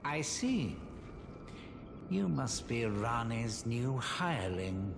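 Creaky, drawling sly sorcerer. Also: male voice, medium pitch, very animated, slow, full character voice. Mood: condescending. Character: sly, condescending sorcery preceptor. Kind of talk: scripted video game character dialogue. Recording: long echoing reverb, loud music behind. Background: music.

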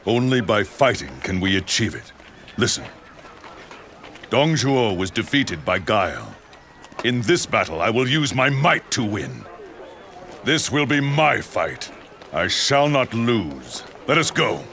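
An actor playing a proud, fierce warlord, gravelly and commanding, in a video game drama. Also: male voice, on the low side, very animated, measured pace, sharp tone, a little hiss or hum, full character voice. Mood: grim resolve. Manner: commanding, boastful. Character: proud, fierce, hot-blooded warlord, devoted protector.

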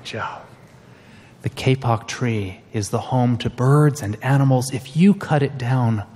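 A man's voice: medium pitch, measured pace, darkish tone, in a large hall, slight background noise, natural voice. A man voicing a growling jaguar in a storybook read-aloud. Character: slow-growling sleek jaguar.

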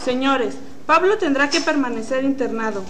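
A woman playing a calm, formal hospital doctor in a student radio drama. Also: sits high, normal speed.

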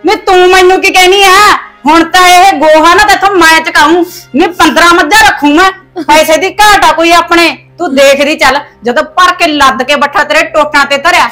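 A woman playing a sharp-tongued mother-in-law. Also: extremely high pitch, moderate pace, soft background music, rough recording. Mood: scolding. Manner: scolding, sarcastic, full of folk sayings. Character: harsh, taunting middle-aged mother-in-law. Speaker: actor in a family skit. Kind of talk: domestic comedy-drama skit.

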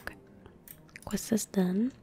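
A woman in her twenties giving gentle ASMR game commentary in a soft, breathy whisper, close to a desktop microphone. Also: mid-range voice. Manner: gentle, unhurried, murmuring. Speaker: streamer playing a video game. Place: small indoor room at a desk. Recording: close-miked with faint background noise. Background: faint game ambience.

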